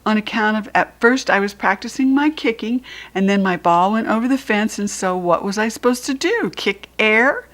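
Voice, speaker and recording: A woman voicing a loud, spunky little girl in a read-aloud storybook, hollering in a high voice. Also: lively intonation, moderate pace, hardly any pauses, close to an everyday voice. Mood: pleading. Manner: shrill hollering.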